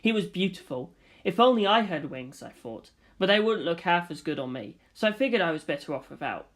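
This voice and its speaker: A narrator reading a fantasy story aloud in a scripted storytelling style, at a medium pitch. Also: expressive, brisk. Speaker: audiobook narrator.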